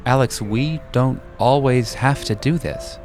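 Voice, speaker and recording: A man's voice: medium pitch, measured pace, a little mellow, soft background music, pitched above the performer's own voice, close to an everyday voice. A man playing a man in his late twenties, a patient but wounded lover, in an audio drama. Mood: earnest, hurt.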